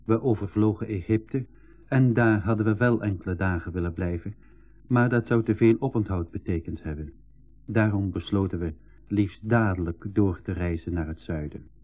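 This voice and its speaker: A man's voice: lowish voice, normal speed, natural voice. A man playing an eager, outspoken boy telling his adventures in a 1980s school radio play. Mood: reflective narrating. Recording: thin phone-like radio recording, music.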